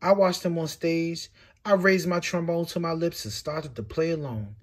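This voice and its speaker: A man reading a picture book aloud with expressive, swinging delivery. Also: normal speed. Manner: expressive storytelling with big swings in pitch and loudness.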